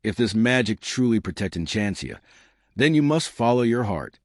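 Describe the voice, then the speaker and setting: A man's voice: fairly low pitch, very animated, moderate pace, no room sound, studio quality. A man voicing a kind, trusting queen mother in a children's storybook, earnest and reassuring.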